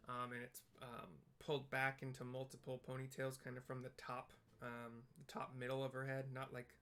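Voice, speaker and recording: A man giving improvised audio description of a game scene, halting and searching for plain comparisons as he goes. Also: mid-range voice, completely flat delivery, a bit bright, clean tone. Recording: game music behind the voice. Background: game music.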